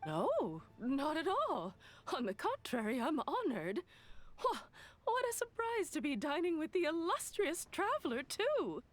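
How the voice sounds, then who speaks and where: A woman's voice: sits high, huge pitch swings, unhurried, full character voice. A woman playing an eager, polite businesswoman in a video game, deferential and effusive in manner. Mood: flustered, admiring. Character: eager, polite businesswoman, easily overexcited admirer.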